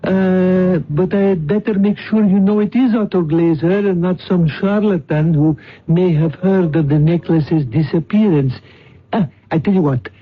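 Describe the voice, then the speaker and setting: An actor playing a suave impostor who poses as a courteous, solicitous sheikh in an old-time radio drama. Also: male voice, high-pitched, a little slow, muffled recording. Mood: courteous, assured. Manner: solicitous, hesitant, formally polite.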